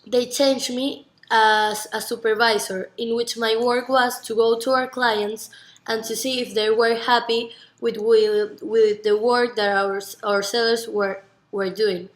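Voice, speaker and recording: A woman's voice: on the high side, level tone, unhurried. A nineteen-year-old woman from Venezuela with a Venezuelan Spanish accent, earnestly giving rehearsed interview answers in non-native English with some stumbles. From Margarita, Venezuela. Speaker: university student being interviewed. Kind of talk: rehearsed interview self-presentation. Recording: echoey, roomy sound.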